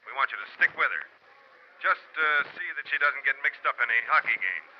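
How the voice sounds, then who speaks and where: An actor playing a genial, brisk insurance company manager in 1940s radio style. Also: male voice, mid-range voice, expressive, lightly acted. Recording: muffled, faintly noisy 1940s radio recording.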